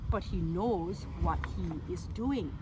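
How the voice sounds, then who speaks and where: A woman's voice: lowish voice, lively intonation, slow, noisy background, voice pushed down. A woman voicing a bullheaded, blustering male painter in a children's storybook, with a scornful, emphatic manner. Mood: grudging.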